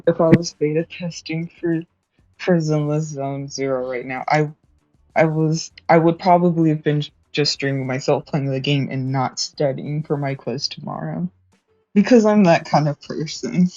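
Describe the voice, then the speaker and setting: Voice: medium pitch, moderate pace, darkish tone, dry recording, lo-fi sound, faint music behind. A young adult streamer chatting casually while studying, rambling, correcting themselves and laughing often.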